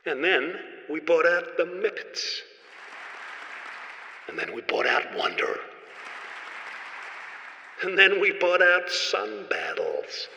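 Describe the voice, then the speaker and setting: A man playing a gloating villain tycoon, a head in a tank, giving a pompous, deliberate speech in an audio drama. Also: high-pitched, sing-song, gravelly, bright, forceful tone, long reverb tail, a faint crowd, slight background noise, exaggerated voice. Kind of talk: theatrical public speech in an audio play.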